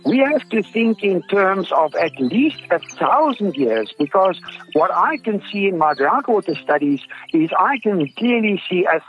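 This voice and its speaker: A man from South Africa with an Afrikaans accent, a native Afrikaans speaker, explaining things earnestly as an expert guest in an interview. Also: a little high. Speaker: groundwater researcher, interview guest. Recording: dull lo-fi audio with music behind. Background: music.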